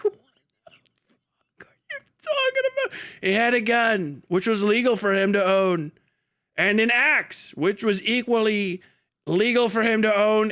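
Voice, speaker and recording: High-pitched, bright, animated man ranting. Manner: incredulous, foul-mouthed, animated; acts out mocking imagined dialogue. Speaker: livestream host and commentator. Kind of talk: casual reaction commentary, reading an article aloud and riffing.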